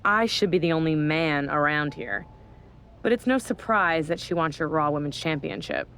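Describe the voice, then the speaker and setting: A scheming female wrestler, talks in a lowish voice. She is flattering and theatrical, wheedling in scripted game cutscene style with faint noise behind. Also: moderate pace.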